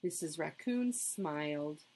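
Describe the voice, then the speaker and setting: Lady narrating a kids book, slightly husky, really lively pitch, unhurried. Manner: deliberate, expressive. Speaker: story reader. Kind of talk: expressive children's storybook narration.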